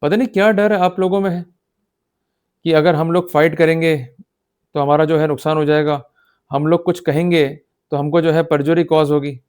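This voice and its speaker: Emphatic male lecturer, higher-pitched voice. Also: fairly even intonation, normal speed, darkish tone, clear, resonant tone. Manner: emphatic, exhortative, checks that listeners follow. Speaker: law lecturer. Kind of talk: explanatory lecture mixing Hindi with English legal terms.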